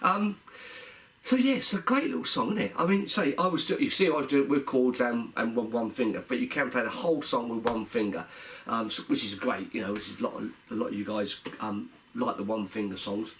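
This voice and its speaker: A man's voice: mid-range voice, a little fast, fairly bright tone, slight background noise. A man, congested from a cold and a little nasal, casually teaching a song, calling out chords and half singing them while he plays. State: stuffy nose from a cold. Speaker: guitar teacher. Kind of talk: casual music lesson. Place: living room at home. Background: cigar box guitar playing.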